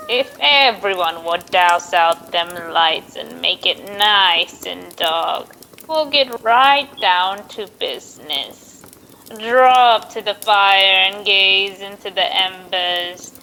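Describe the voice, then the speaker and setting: A woman's voice: mid-range voice, gravelly, sharp tone, slight background noise, full character voice, poor audio. A woman playing an ancient, gleeful witch who tells eerie tales in old radio horror style, drawing out her words. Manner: slow, drawn-out spooky storytelling with cackles.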